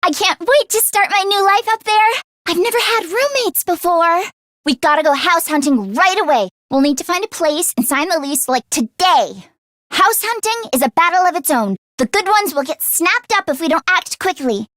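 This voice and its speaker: High-pitched, emotive teenage girl game heroine. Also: moderate pace, no echo, studio quality. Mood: eager. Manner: expressive and emotive, with sighs and gasps. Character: earnest, excitable teenage girl fighter, caring and anxious. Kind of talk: English video game voice acting.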